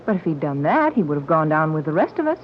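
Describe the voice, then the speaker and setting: A woman's voice: sits low, very animated, quick pace, a little hiss or hum. An actress playing a composed woman expedition leader who asks careful questions, in 1930s radio acting. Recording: tinny lo-fi 1930s radio recording.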